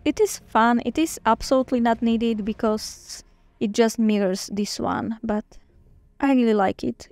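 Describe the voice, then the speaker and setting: Give me a woman chatting slowly and eagerly, with a gravelly edge to her voice. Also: fairly high pitch, darkish tone. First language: Slovak. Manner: chatty, enthusiastic, with small restarts. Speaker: book vlogger. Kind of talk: casual reading-journal flip-through.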